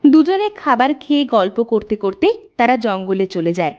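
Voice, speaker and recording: A woman's voice: fairly high pitch, big pitch swings, normal speed, a little mellow, dull audio. A woman narrating a scripted fairy tale for children.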